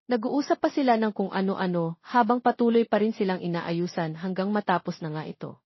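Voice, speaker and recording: A woman's voice: mid-range voice, fairly quick, breathy edge, no room sound. Woman, Filipino accent, audiobook romance narration, dry room, dull lo-fi recording. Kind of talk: scripted romance story narration.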